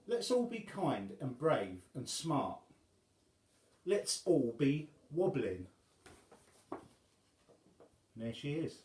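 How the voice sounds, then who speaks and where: A man reading a rhyming children's story aloud as an expressive storyteller. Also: mid-range voice, reverberant.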